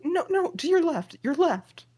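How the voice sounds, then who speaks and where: A woman's voice: very high-pitched, big pitch swings, measured pace, mellow, full character voice. A woman reading a bossy fairy-tale creature in a live table read, complaining in a whiny, bossy way.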